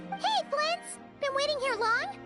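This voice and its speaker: A tiny game fairy with an extremely high, squeaky child's voice. The delivery swings wildly up and down, eager and cheerful. Loud playful music runs behind it. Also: female voice, animated, measured pace. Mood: friendly, curious. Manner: exclamatory, eager. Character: tiny excitable childlike female fairy companion, cheerful and friendly. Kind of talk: video game voice acting.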